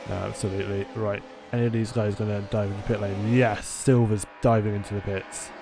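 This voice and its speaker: A man's voice: lowish voice, flat delivery, a little fast, creaky, music in the background. A man giving live sim racing commentary in a chatty, casual way.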